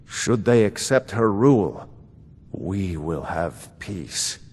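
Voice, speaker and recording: A slow, stern court steward. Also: male voice, low voice, expressive, darkish tone, slight background noise. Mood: measured. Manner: formal, measured, admonishing. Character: stern, loyal male steward of a fantasy court. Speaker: video game character. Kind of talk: scripted game dialogue. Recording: long hall reverb.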